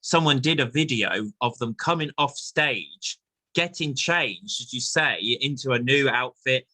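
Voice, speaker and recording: A man's voice: medium pitch, animated, a little slow, bright, forceful tone, dry recording, poor audio. An English man hosting a chatty theatre interview and quiz, effusive and encouraging in manner. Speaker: host of a theatre chat show.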